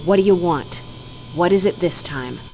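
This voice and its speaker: A woman's voice: fairly low pitch, moderate pace, gravelly, warm tone, a little hiss or hum, poor audio. An actress playing a cruel, domineering female crime boss who talks with menacing contempt. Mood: menacing scorn.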